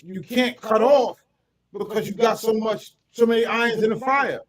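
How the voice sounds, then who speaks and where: A man hosting a casual interview, animated and full of affirmations and fillers. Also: sits high, normal speed, a crowd in the background. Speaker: host, radio personality.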